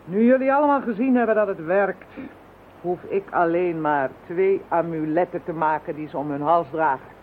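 A man's voice: a little high, normal speed, close to an everyday voice. Zelfverzekerde kenner van het occulte, gespeeld door een man, ironisch, licht ruisend. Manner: lecturing, self-assured. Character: calm male occult expert, confident and wry. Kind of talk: radio play acting. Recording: lo-fi phone-band radio recording with faint hiss.

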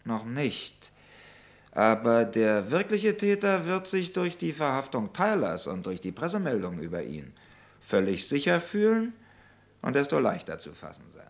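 A stubborn, sardonic police detective in an old radio crime drama. The mid-pitched voice swings widely in intonation. His delivery is pointed and emphatic. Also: very animated, measured pace, creaky. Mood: thoughtful. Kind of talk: vintage German radio crime drama acting.

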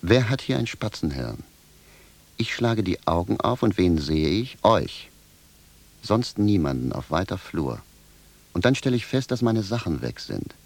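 An actor playing a simple, bewildered and stubborn village cobbler in a radio drama, speaking bluntly and with a sense of grievance. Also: male voice, deep voice, very animated, unhurried, gravelly, full character voice. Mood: indignant, stubborn. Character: plain-spoken, bewildered, stubborn village cobbler, grumbling indignantly. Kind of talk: theatrical radio drama dialogue.

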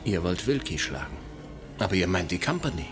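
A man's voice: low voice, very animated, a little fast. An actor in a German radio play voicing a wary, dryly ironic man who talks in clipped, polite phrases. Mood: wary, incredulous. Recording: studio radio play with prominent music. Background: music.

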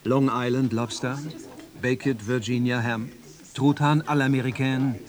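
A man plays a proud, boastful American restaurant manager in a radio drama. His voice is mid-pitched and his talk brisk. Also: fairly even intonation, lightly acted. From USA.

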